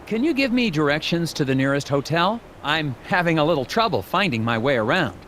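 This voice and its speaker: An actor playing an earnest, awkward, polite government investigator in a video game, officious and slightly hesitant. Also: male voice, fairly high pitch, sing-song, a little fast, fairly bright tone, slight background noise. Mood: polite, uncertain. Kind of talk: video game character dialogue and dictated reports.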